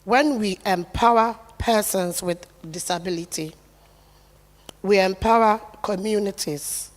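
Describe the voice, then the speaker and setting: A woman delivers a corporate speech slowly and deliberately, her voice low and soft. She speaks in an earnest, declarative way. Her words ring with a long reverb off a large hall.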